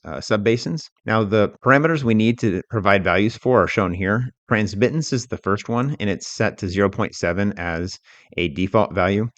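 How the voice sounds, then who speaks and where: A man giving an explanatory software tutorial as an instructor, talking through it step by step in a measured, unscripted way. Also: on the low side, dry recording.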